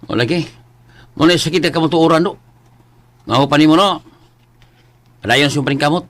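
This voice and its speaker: A man's voice: a little high, normal speed, a bit bright, lightly acted. A man playing a loyal, encouraging friend in an amateur radio drama. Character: young man, loyal buddy.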